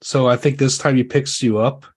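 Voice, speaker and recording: A man's voice: mid-range voice, fairly even intonation, moderate pace, slightly rough. A man casually improvising narration as game master of a tabletop roleplaying game.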